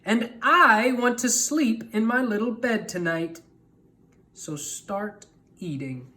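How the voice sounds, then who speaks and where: A woman's voice: a little high, takes it slowly, sharp tone, pitched above the performer's own voice. A woman voicing a firm old village witch in a storybook reading. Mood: stern.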